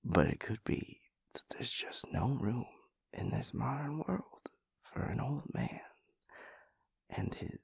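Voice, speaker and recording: Gravelly, breathy, drowsy boyfriend played by a man. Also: mid-range voice, expressive, no echo, in a whisper, full character voice. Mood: sleepy mumbling. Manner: halting, mumbling, playfully pompous wordy rambling. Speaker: audio roleplay performer. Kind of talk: intimate audio roleplay, half-whispered comic monologue.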